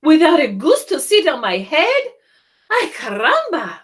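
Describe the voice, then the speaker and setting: A woman's voice: high voice, wildly swooping pitch, a little slow, voice pushed up, exaggerated voice. A woman voicing an indignant, dramatic hen in a children's story, given to exclaiming. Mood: indignant surprise.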